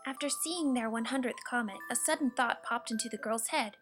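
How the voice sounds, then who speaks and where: A woman narrating a children's storybook with expressive, deliberate bedtime-story phrasing. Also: on the high side. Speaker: storybook reader. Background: soft music.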